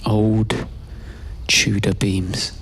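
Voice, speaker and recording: A man giving a slow, scripted first-person spoken-word narration in a hushed, deliberate way, pausing mid-phrase. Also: fairly low pitch, little pitch movement, slightly rough. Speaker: performer of own monologue. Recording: loud music over the voice. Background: loud music.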